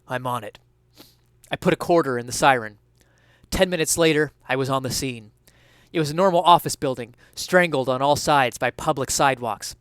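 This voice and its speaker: A man's voice: medium pitch, fairly quick, a bit bright, smooth, dry recording. A man giving a dramatic reading of a first-person story. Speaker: dramatic reader.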